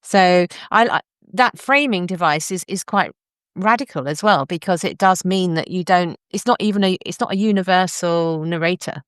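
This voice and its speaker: A woman's voice: on the low side, normal speed, smooth, dry recording, clean studio sound. A woman hosting a literary discussion, speaking in an articulate, thoughtful, conversational way. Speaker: podcast host; novelist.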